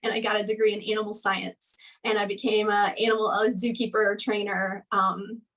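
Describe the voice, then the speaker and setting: A woman's voice: mid-range voice, flat delivery, normal speed, a bit bright, distant mic, rough recording. A woman in her thirties, a guest chatting casually in an interview, rambling with lots of "like" and light laughs.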